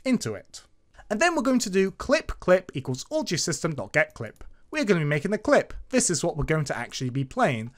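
Male presenter for an informal screencast lesson; highly animated intonation, emphatic sing-song explanations. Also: brisk. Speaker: tutorial host. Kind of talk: informal step-by-step screencast tutorial narration.

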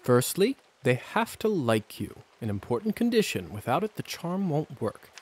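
A man's voice: a little high, very animated, moderate pace, breathy edge. An actor playing a suave, sly vampire officer who teases as he instructs, in video game style. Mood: sly instructing. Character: suave, sly male vampire hussar, teasing mentor.

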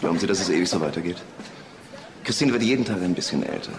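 Fast-talking smug provocateur. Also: male voice, low voice, expressive, background noise. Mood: smug, mocking. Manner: mocking, suave. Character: smug, needling man provoking a father. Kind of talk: German crime radio drama acting. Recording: busy crowd murmur behind.

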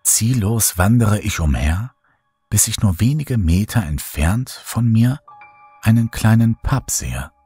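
A man narrating a horror story in first person, slow and brooding, over faint background music. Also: on the low side, animated, warm tone, breathy edge, dry recording. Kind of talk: scripted horror audiobook narration. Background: faint music bed.

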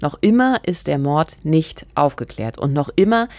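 A woman co-hosting a true-crime podcast in a radio studio, measured and asking questions. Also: sits low, lively intonation, a little mellow, no room sound. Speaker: podcast co-host. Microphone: studio microphone with pop filter, headphones.

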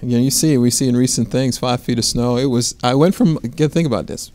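A man's voice: medium pitch, a little fast, darkish tone. A middle-aged American man telling his story as an interview guest, earnest, often rephrasing as he goes. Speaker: interview guest, restaurant owner. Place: quiet indoor studio set with armchairs. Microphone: lapel mic.

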